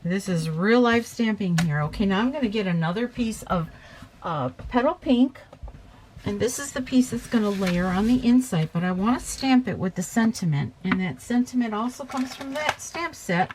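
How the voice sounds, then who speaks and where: A woman with a slight hoarseness from a cold, chatting her way through a paper craft tutorial at her table and correcting herself as she goes. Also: mid-range voice, lively intonation, normal speed, a little mellow, slight background noise, rough recording. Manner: chatty, talks while working, self-correcting.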